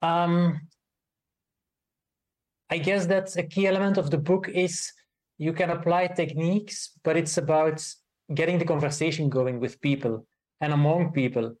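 A man speaking English as a second language, explaining ideas as a co-author guest in a measured, thoughtful way with frequent pauses. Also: fairly high pitch, fairly even intonation, a little mellow. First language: not English. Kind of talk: explanatory conversation.

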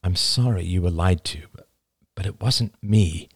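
Man acting as grave male speaker, commanding, deliberate, deep, dry close recording, audiobook. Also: lively intonation, dry recording. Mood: grave, gentle. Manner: solemn, deliberate warnings, barking when roused.

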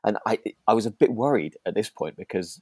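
A man co-hosting a podcast, chatting casually about a radio drama and telling stories in an animated way. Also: lowish voice, a little fast, husky, no echo, clean studio sound. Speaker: podcast co-host.

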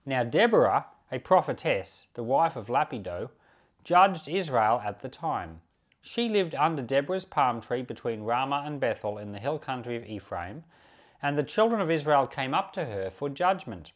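Expressive man teaching and reading scripture. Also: mid-range voice, normal speed. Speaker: pastor and podcast host.

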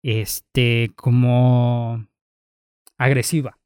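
A man's voice: mid-range voice, flat delivery, a little mellow, smooth, dry recording, studio quality. A young man with a Mexican accent, co-hosting a casual podcast chat full of slang. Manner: slow, drawn-out, casual slang. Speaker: podcast co-host.

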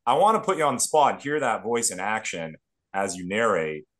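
A man's voice: fairly low pitch, sing-song, normal speed, a bit bright. Enthusiastic Canadian man co-hosting. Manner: enthusiastic, repeats words as he sets up a question. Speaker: podcast co-host. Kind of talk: casual podcast chat.

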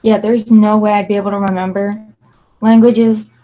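A woman's voice: medium pitch, level tone, moderate pace, mellow, muffled recording. Young woman from Virginia, chatty student, filler-heavy. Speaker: student guest. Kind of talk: casual chat.

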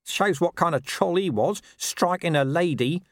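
Man putting on a whiny high sullen corporal. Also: big pitch swings, slow, dry recording, studio quality, exaggerated voice. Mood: sullen, aggrieved. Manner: whiny, grumbling. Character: sullen, shifty, whining scruffy police corporal.

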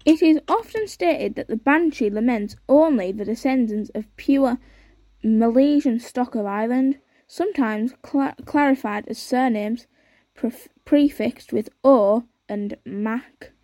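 A woman's voice: on the high side, unhurried, warm tone, dry recording. A young woman hosting a podcast, chatting casually and reading aloud with lots of fillers and unsure asides. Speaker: podcast host.

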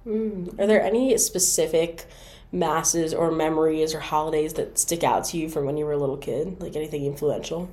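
A woman's voice: low voice, moderate pace, dark tone. A woman in her twenties, a student hosting a campus podcast, asking questions in an upbeat and encouraging way. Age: 20s. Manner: upbeat, encouraging, many affirming interjections. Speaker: podcast host. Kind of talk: interviewing.

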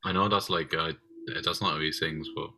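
A man's voice: a bass voice, steady tone, fairly quick, sharp tone. A man co-hosting a podcast, teasing and egging the others on. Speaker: podcast co-host. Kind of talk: casual chat.

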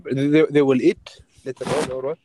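A man from Oman speaking in a casual chat, curious and asking many questions, with halting phrasing. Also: medium pitch, flat delivery. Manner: curious, asks many questions, halting non-native English. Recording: online call audio with faint noise.

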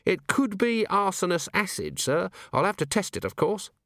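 A man's voice: high-pitched, expressive, moderate pace, a bit bright, dry recording, clean studio sound, voice pushed up. A man voicing an eager, respectful female dwarf alchemist in an audiobook, deferential and chirpy.

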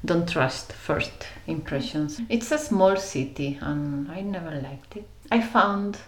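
Woman, Spanish accent, low voice, reflective conversational storyteller with halting delivery, roomy recording. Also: expressive. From Spain. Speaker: interview guest. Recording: roomy echo around the voice.

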